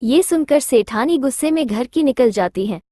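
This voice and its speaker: A woman narrates a scripted story for children. Her voice is high in pitch, and the recording is very dry, with no room sound. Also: animated, moderate pace, warm tone, no echo.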